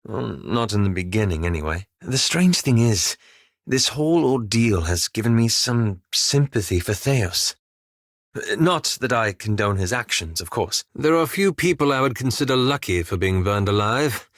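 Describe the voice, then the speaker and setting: A man playing a reserved, scholarly, self-doubting young elf adventurer in a video game, speaking in a formal, measured, wryly understated way. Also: lowish voice, lively intonation, no echo, clean studio sound. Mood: reflective. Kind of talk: video game companion dialogue.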